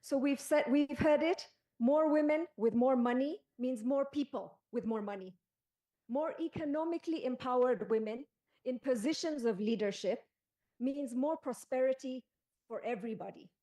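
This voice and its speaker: A woman with a Kenyan accent speaks on a conference panel, her voice sitting a little high. She talks in a measured way and leans hard on key phrases. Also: dark tone, poor audio. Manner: measured, emphatic delivery. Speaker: panelist and special advisor. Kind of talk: formal panel speech.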